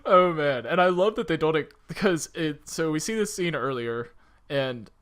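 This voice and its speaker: A man's voice: on the high side, expressive, normal speed, a bit bright. A man co-hosting a film podcast, talking casually and animatedly, often with a giggle in his speech.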